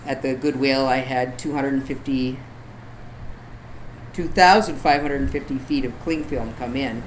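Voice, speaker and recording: A man's voice: mid-range voice, fairly even intonation, unhurried, low-quality recording. A man talking casually as an interview guest, telling informal stories and voicing the quotes in them. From Canada. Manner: informal anecdotes with acted-out quotes. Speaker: podcast guest, photographer. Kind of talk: casual chat. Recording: faint background noise.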